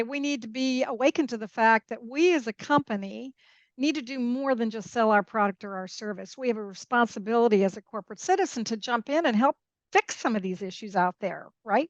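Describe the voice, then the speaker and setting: Higher-voiced woman podcast guest, dull audio. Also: a bit bright, no room sound. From Peru and Mexico. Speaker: podcast guest, international corporate lawyer. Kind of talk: conversational interview commentary.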